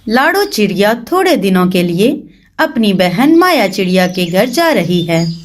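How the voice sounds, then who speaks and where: A woman's voice: mid-range voice, big pitch swings, unhurried. A woman narrating a children's animal story as scripted cartoon narration.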